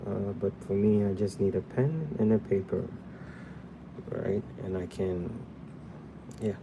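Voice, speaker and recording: A man's voice: fairly low pitch, unhurried, gravelly, highs cut off, noisy background. A young man from Ghana hosting a live drawing tutorial, chatting casually with viewers while he sketches at a desk indoors. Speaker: drawing livestream host.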